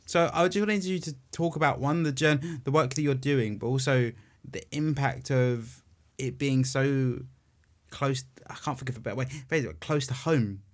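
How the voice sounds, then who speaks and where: A man's voice: medium pitch, moderate pace. Rambling English male podcast host. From England. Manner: rambling, self-deprecating. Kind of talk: casual interview chat.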